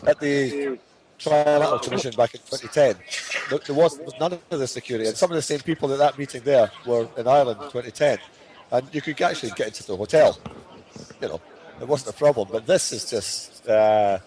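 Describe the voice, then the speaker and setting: A man's voice: medium pitch, a little fast, crowd noise, rough recording. A man hosting a live broadcast with casual commentary, often repeating and restarting his phrases.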